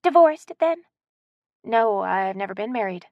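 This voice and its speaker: A woman's voice: mid-range voice, animated, unhurried, no echo, phone-quality sound, voice pushed up. A woman narrator voicing a polite, good-natured woman in an audiobook story.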